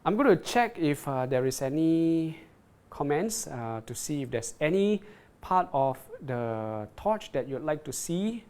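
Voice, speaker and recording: A man hosting a live product demo, talking off the cuff as he reads out viewer comments. His voice sits a touch higher than most men's. He stops and restarts a lot and keeps asking "right?". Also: sing-song, darkish tone, smooth. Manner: halting, repeats words, checks in with "right?". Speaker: product presenter on a livestream.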